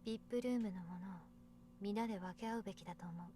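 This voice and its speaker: A woman's voice: mid-range voice, racing, warm tone, soft background music, lightly acted. A woman voice-acting a serious, practical young woman reasoning things out in a Japanese visual novel. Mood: calm, serious.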